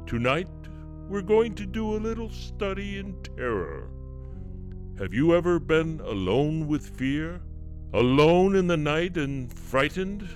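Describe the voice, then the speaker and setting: Male horror-show announcer: very unhurried, dramatic rises and falls, ominous, prominent music behind. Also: on the high side, animated. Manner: ominous, theatrical. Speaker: host and announcer of a radio mystery show. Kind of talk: old-time radio horror introduction.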